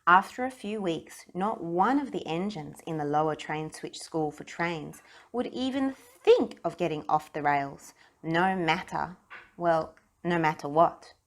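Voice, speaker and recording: Casting: female children's book narrator, lowish voice, expressive read-aloud with deliberate emphasis.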